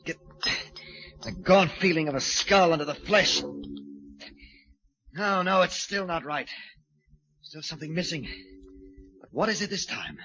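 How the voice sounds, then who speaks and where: An actor playing an obsessed, murderous sculptor who believes himself Death, in theatrical 1940s radio style. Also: male voice, on the high side, lively intonation, measured pace, long pauses, sharp tone. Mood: obsessed, grandiose. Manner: theatrical, grandiose, self-questioning monologue. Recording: muffled, noisy old 1940s radio recording.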